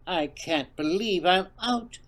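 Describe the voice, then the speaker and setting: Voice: mid-range voice, slow, fairly bright tone. A performer voicing an eccentric, menacing male government agent with a theatrical, swooping delivery in an audio drama. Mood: gleeful, unhinged.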